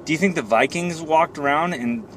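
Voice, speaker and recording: A man's voice: fairly high pitch, normal speed, fairly bright tone. A man in his thirties rambling casually to camera while driving, with a joking, self-deprecating manner. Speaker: vlogger. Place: inside a moving car. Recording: car cabin with road and fan noise. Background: car and air noise.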